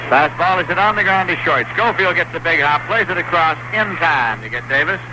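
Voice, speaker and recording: A man's voice: a little high, animated, brisk. An American man calling baseball play-by-play in a 1960s radio style, on a noisy old broadcast. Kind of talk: 1960s radio sports commentary.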